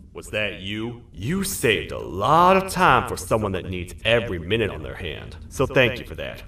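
A bossy cartoonish game sheriff toad barks clipped commands with theatrical swings in a low voice. He sounds a little away from the mic. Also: fairly bright tone, roomy sound, recorded from a distance. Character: stern, bossy toad sheriff interrogating, gruff authority. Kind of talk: scripted video game character lines.

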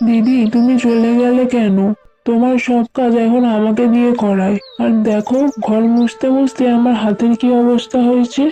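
A performer voicing a distressed, overworked young schoolboy in a cartoon, plaintive and loud. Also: medium pitch, little pitch movement, moderate pace, faint music behind, close to an everyday voice, poor audio. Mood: tearful complaint. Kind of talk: cartoon moral-story voice acting.

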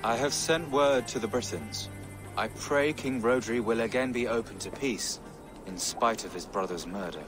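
Brief: male actor as gentle medieval bishop, mid-pitched and measured, game soundtrack clearly under. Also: over music, close to an everyday voice, low-quality recording. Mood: grave. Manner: measured, prayerful, cautious. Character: pious worried bishop, gentle and careful. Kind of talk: video game cutscene acting.

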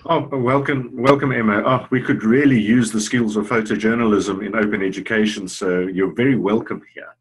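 A man's voice: fairly low pitch, steady tone, moderate pace, few pauses, husky, poor audio. A middle-aged man hosting a video-call webinar on a headset, easygoing, with many "uh" fillers. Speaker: webinar host.

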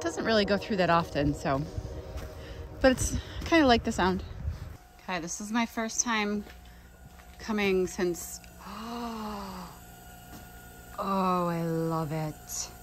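A woman's voice: medium pitch, slow, pauses often, noisy background. A woman in her thirties talking casually to a handheld phone while working outdoors on her flower farm, thinking aloud and correcting herself. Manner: chatty, thinking aloud, self-correcting asides. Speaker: flower farmer vlogger. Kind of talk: casual vlog narration. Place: outdoors on a farm in cold weather, partly in a car. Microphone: handheld phone camera.

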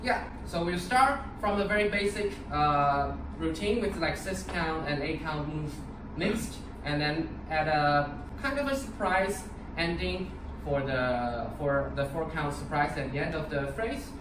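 A man's voice: fairly high pitch, expressive, unhurried. A young man from Taiwan, teaching a partner dance class in a casual, upbeat way, with fillers and restarts. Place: large empty dance studio. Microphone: far camera. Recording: echoey studio from far off, noisy.

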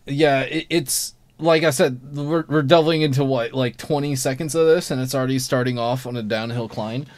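A man co-hosting a casual panel podcast over an online call, explaining things in a halting, rambling way. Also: mid-range voice, dry recording. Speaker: podcast co-host.

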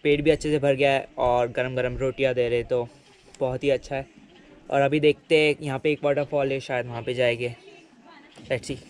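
A man's voice: mid-range voice, little pitch movement, moderate pace, crowd noise. A young man chatting enthusiastically to viewers as he tours a hillside campsite, filming himself at arm's length outdoors. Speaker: travel vlogger. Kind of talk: casual travel vlog narration. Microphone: handheld camera at arm's length.

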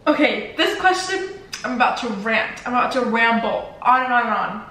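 A woman's voice: fairly high pitch, big pitch swings, moderate pace, bright, forceful tone. A teenage girl with Spanish as her first language, chatting casually on a couch and rambling with lots of "like" fillers. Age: teens. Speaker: vlogger. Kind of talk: casual Q&A chat while eating. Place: living room, seated on a leather couch. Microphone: camera across the room. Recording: very echoey room, voice from a distance.

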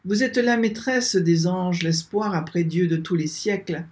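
An older man leading a slow prayer, reciting repeated invocations in a steady, measured chant, filmed by a webcam in a small room. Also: high-pitched. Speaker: prayer leader.

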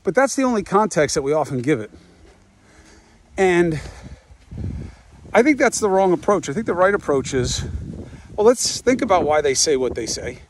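A man's voice: sits high, very animated, normal speed, long pauses, a little hiss or hum. A man in his fifties hosting a podcast, talking through a reflective monologue outdoors on a walk, musing and asking rhetorical questions. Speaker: podcast host. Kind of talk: reflective monologue while walking.

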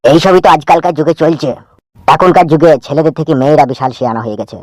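A man's voice: on the high side, quick pace, a little mellow, no echo, close to an everyday voice. A man playing a chatty, knowing junior policeman in a comic cartoon, gossipy and opinionated.

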